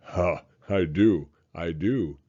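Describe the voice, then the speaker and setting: A man voicing a gentle father in a storybook, speaking calmly and tenderly to reassure his young son. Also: low voice, slow, highs cut off, pitched below the performer's own voice. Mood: reassuring.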